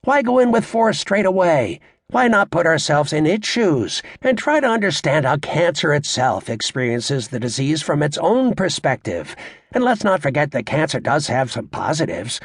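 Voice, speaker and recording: A man acting a cocky, gravelly stand-up comic. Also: a little high, sing-song, moderate pace, dry recording, studio quality, exaggerated voice. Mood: mock-earnest. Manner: cocky, sarcastic, showy, needling the crowd. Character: brash, crude male stand-up comedian working a club crowd. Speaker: stand-up comedian. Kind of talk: stand-up comedy patter in an audiobook performance.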